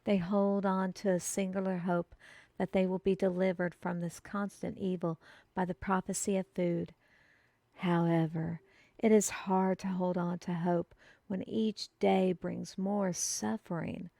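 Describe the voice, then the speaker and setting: A woman narrates a scripted tale in a measured storytelling manner. She takes her time with each phrase, and the recording is very dry with no room sound. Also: lowish voice, flat delivery, gravelly, warm tone, no echo.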